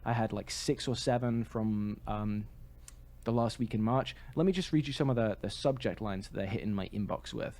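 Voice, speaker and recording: A man's voice: fairly low pitch, moderate pace, darkish tone. A man co-hosting a podcast, talking in a casual, conversational style. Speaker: podcast co-host.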